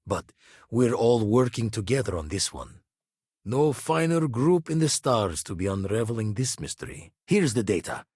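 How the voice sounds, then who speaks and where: An actor playing an older retired space pirate turned astronomer in a video game, wry and reflective, with clipped, folksy phrasing and a husky voice. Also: male voice, mid-range voice, sing-song, moderate pace, a little mellow, dry recording, clean studio sound. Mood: wry, matter-of-fact. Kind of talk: video game character voice acting.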